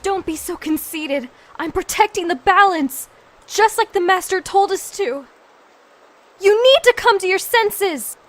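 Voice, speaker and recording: A woman in an amateur fandub voicing a righteous, unyielding young female guardian who speaks forcefully, as if scolding. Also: very high-pitched, lively intonation, unhurried. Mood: stern defiance.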